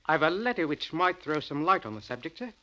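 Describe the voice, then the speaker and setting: Respectful petty officer, animated pitch, bright, clipped formal reports, dull vintage radio drama. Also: male voice, fairly quick. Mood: dutiful. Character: dutiful, respectful British chief petty officer. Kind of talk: 1940s radio drama acting.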